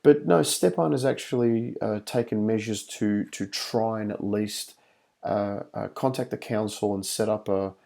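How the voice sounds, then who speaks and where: A male podcast host talks in a relaxed, rambling way over a video call, full of fillers and restarts. His voice has a gravelly edge and a mellow, dark tone. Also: on the low side, moderate pace. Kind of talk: casual chat.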